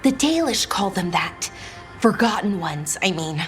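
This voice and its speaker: An earnest young woman scholar. She talks in a lively, slow, halting way, curious and awkward, over faint music. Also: mid-range voice. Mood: uneasy, earnest. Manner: hesitant, thinking aloud, chatty.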